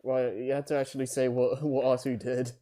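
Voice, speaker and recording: A man's voice: medium pitch, level tone, fairly quick, dark tone. A man throwing casual comic interjections into a group script reading, on a noisy microphone. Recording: very noisy mic, clicks.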